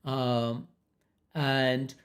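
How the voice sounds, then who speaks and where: A podcast host with a mixed accent drawn from several countries. He sits at mid pitch and talks through listener comments in a measured, dryly amused way. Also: level tone. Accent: mixed international accent. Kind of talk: casual commentary reading out viewer comments.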